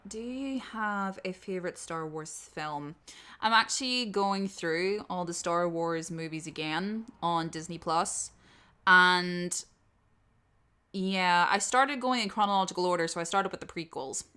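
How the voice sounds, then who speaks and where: Clear, bright-voiced young Northern Irish woman. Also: on the low side. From Northern Ireland. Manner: candid, blunt, informal with swearing. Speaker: audio roleplay creator answering subscriber questions. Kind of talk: casual unscripted Q&A chat.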